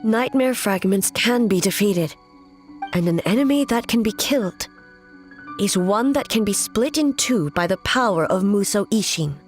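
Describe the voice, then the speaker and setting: A woman playing a solemn, resolute female shogun in a fantasy game, speaking in a measured, formal, grave manner. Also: mid-range voice, lively intonation, natural voice. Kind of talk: scripted fantasy game dialogue. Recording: music behind the voice.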